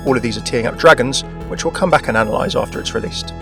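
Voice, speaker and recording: Fast-talking male gaming video narrator. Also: mid-range voice. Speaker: video presenter. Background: background music.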